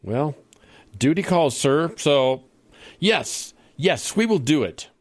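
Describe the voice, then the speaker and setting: A man's voice: a little high, very animated, slow pace, dry recording, clean studio sound, heavily acted. A man playing an eager, bumbling middle-aged dad who hosts a family show in a comedy radio play, earnest and a bit hesitant. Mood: hesitant, polite.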